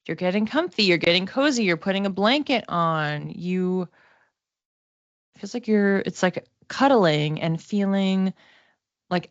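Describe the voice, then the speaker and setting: A woman with a slightly low voice gives an improvised card reading. She talks casually and haltingly, in a gentle, reassuring manner. The sound is very dry, close and a little muffled. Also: no room sound. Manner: conversational, halting, with frequent "like". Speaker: host giving psychic oracle card readings.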